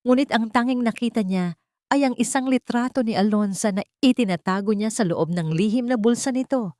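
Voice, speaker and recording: A woman reading a scripted romance drama as narrator, in a measured, solemn storytelling manner. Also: fairly high pitch, mellow, dry recording, studio quality.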